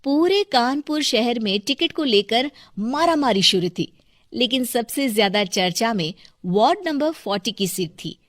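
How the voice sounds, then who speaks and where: A woman's voice: on the high side, a bit bright, no room sound, clean studio sound. A woman giving scripted narration for a cartoon story.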